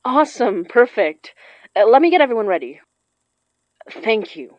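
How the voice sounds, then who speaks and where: A woman's voice: a little high, sing-song, normal speed, no echo. A woman playing a determined, informal young spirit summoner in a horror audio drama, giving things a self-possessed, casual tone. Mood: grateful, eager. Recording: dry, slightly dull studio sound.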